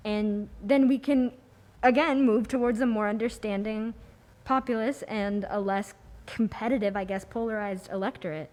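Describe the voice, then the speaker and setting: A woman's voice: mid-range voice, normal speed, a little mellow. A young woman on a discussion panel, giving opinions in a casual, slangy way and voicing imagined quotes. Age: young adult. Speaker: youth podcast panelist.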